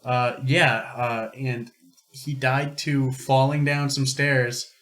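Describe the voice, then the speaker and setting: A man's voice: mid-range voice, level tone, takes it slowly, a bit bright. Wry man bantering on a podcast. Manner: wry, joking, sweary. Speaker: podcast co-host. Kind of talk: casual banter and commentary.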